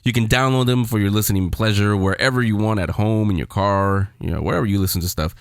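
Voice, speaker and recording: A man's voice: on the low side, fast talker, clean tone, no room sound, studio quality. A man hosting a show, talking casually and off the cuff. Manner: informal, off-the-cuff. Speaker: podcast host.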